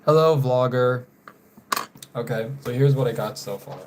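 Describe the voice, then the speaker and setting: A young man from North Carolina chatting casually on a live stream while drawing. He often trails off quietly and apologizes for mispronouncing viewers' names. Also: mid-range voice, a little slow, background noise. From North Carolina, USA.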